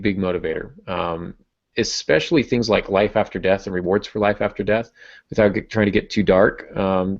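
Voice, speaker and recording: A man with a distinctly low voice talks in a casual, explanatory way, as a host teaching writers would. The sound is somewhat muffled and lo-fi. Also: vocal fry, darkish tone. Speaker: podcast host and writing teacher. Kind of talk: casual explanatory podcast talk. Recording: dull, muffled lo-fi audio.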